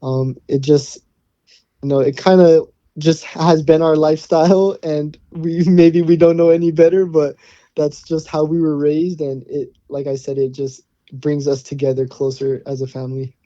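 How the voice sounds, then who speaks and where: A man's voice: a little high, flat delivery, moderate pace, mellow, dry recording, dull audio. A young man from Hawaii, a college football player, answering interview questions in an easygoing way with many fillers and restarts. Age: young adult.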